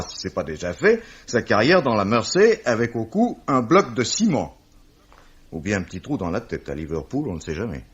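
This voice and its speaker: A man playing a wry, courteous police commissioner, measured and eloquent, in an old radio drama. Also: medium pitch, lightly acted. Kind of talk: 1950s French radio drama acting. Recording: dull vintage radio recording.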